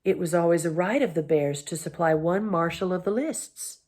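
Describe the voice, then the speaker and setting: A woman's voice: on the low side, a little mellow, close to an everyday voice. Woman doing a thick sleepy old bear. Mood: humble. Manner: slow, humble, plodding. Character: old sleepy male bear, simple and slow.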